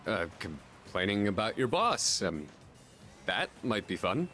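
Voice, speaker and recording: An actor voicing a proud but socially awkward male high school senior in a video game. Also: big pitch swings, a little slow, sharp tone, faint music behind, exaggerated voice. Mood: nervous, halting. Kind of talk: English video game voice acting.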